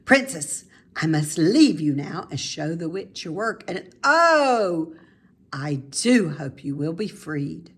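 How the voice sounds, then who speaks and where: Woman voicing a playful, animated storybook lady. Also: sits low, takes it slowly, husky, exaggerated voice. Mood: urgent, playful. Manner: animated, emphatic, savouring her words. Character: cheerful, playful grown woman who delights in housework make-believe.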